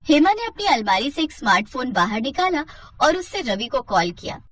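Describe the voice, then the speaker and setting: A woman's voice: high-pitched, sing-song, normal speed, distant mic, a little hiss or hum. A woman narrating a scripted story in a dramatic storytelling style.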